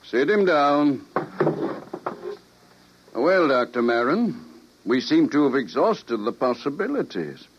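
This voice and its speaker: An actor playing a huge, sardonic government scientist and official, cool, condescending and menacing, in an old-time radio drama. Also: male voice, mid-range voice, very animated, slow, pauses often, a little hiss or hum. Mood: coolly menacing. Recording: dull old radio recording.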